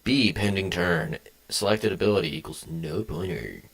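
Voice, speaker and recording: A man's voice: deep voice, normal speed, gravelly, a bit bright, slightly rough, rough recording. A young man streaming from his home desk, chatting casually with viewers while coding, rambling across tangents and swearing freely. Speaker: game developer streaming.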